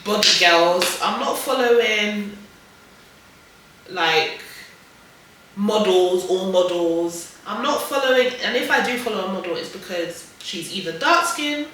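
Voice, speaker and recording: A young woman guest in a casual chat, speaking emphatically and chiming in with quick agreement. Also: medium pitch, lively intonation, pushed and bright, a little hiss or hum. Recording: echoey room, voice far from mic.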